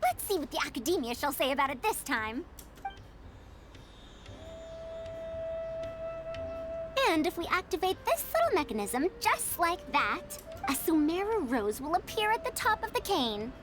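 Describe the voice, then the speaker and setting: A woman's voice: high-pitched, sing-song, fairly quick, few pauses, music in the background. A woman playing a proud, theatrical scholar and inventor in an anime-style game, lecturing children with patient self-importance. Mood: proud, excited.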